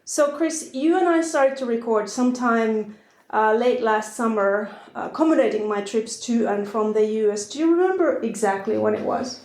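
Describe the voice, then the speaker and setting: A woman's voice: fairly high pitch, moderate pace, a little mellow. Finnish-accented woman in a roomy space. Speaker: podcast host. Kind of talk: podcast intro and interview. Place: her house. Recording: roomy echo.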